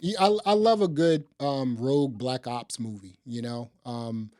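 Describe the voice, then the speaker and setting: A man's voice: medium pitch, a little slow, a little mellow. A man co-hosting a podcast, chatting casually about films in a laid-back way and repeating phrases for emphasis. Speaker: podcast co-host reviewing films. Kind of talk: casual film review chat.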